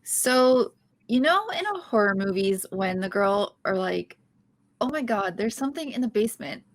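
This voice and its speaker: A woman's voice: medium pitch, animated, normal speed, lightly acted. A woman reading an awkward, earnest young sitcom heroine at a comedy table read. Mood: rambling, exasperated. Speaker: guest reading the lead part at a table read.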